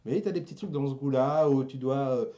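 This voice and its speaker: Guy hosting a chat, soft dark tone, kinda halting, hunts for words. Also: mid-range voice, dull audio. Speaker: show host. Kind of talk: casual chat.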